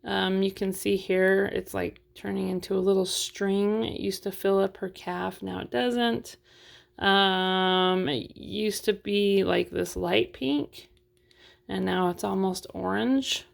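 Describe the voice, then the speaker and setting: Slow, gravelly-voiced woman reviewing a doll. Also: medium pitch, fairly even intonation. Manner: chatty, frank, many fillers and restarts. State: losing her voice. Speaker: doll collector reviewing an unboxing. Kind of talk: casual unboxing review.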